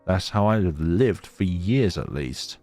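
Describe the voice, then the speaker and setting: A man voicing a lonely, gentle snow spirit girl in a visual novel, with a wistful, lilting manner. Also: sits low, animated, measured pace, faint music behind, full character voice.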